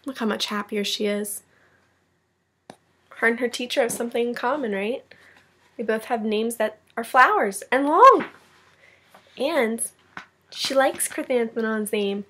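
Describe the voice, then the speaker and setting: Bright-voiced woman teacher reading aloud. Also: a little high, a little slow, pauses often, slightly rough. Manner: encouraging, checks in with listeners. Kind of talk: expressive children's read-aloud with asides.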